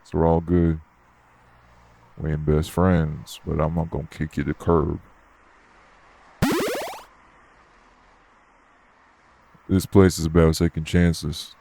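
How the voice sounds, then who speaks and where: Man acting a low, gravelly, blunt tough guy. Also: flat delivery, a little slow, mellow, full character voice. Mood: gruff, forgiving. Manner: blunt, laid-back, streetwise slangy talk. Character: gruff, blunt, unbothered tough guy working at a nightclub. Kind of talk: audio roleplay acting addressed to the listener.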